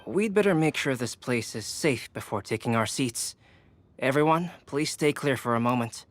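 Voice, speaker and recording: A man voicing a calm, analytical young alchemist in a video game, measured and methodical. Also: mid-range voice, a little hiss or hum, lightly acted. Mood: cautious.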